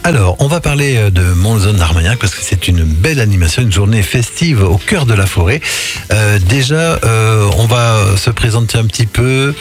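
A man's voice: fairly low pitch, animated, moderate pace, soft background music. A man hosting a radio show, interviewing guests in a casual, conversational way. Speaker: radio host.

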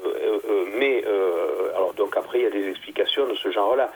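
Hesitant low-voiced man explaining slowly. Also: gravelly, husky. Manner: halting, many fillers and restarts. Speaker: theologian guest.

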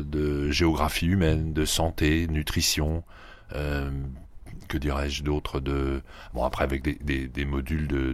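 A man in his fifties from the Paris region, an agronomist answering interview questions in a measured way, with frequent hesitations and restarts. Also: rumbling low, no echo. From Paris region, France. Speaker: agronomist researcher, guest.

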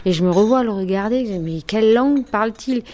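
A woman telling an anecdote in an interview, steady and earnest. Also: on the high side, quick pace, background noise. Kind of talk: casual anecdote.

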